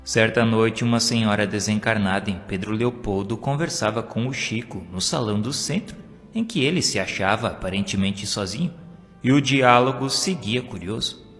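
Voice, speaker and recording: Deep-voiced Brazilian man narrating in reverb. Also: moderate pace, a bit bright. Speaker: audiobook narrator. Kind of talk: scripted storytelling narration. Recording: long hall reverb, music behind. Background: background music.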